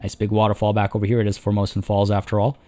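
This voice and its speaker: A man with a hoarse, tiring voice, chatting casually as he plays a zoo-building game and rambling through his thoughts. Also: on the low side, monotone, very fast, dark tone. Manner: rambling, chatty, many restarts and fillers. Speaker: let's play host. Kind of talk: casual gameplay commentary.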